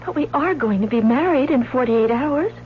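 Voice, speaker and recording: An actress playing a tender, eager young sweetheart in an old-time radio drama, soft and coy. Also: female voice, sits high, expressive, normal speed. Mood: coaxing. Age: young adult. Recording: dull vintage radio, faint hum.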